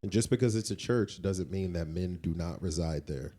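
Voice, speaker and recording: A man's voice: low-pitched, normal speed, dark tone. A man co-hosting a podcast in casual, slangy talk, repeating phrases for emphasis. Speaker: podcast co-host. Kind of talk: casual conversational discussion.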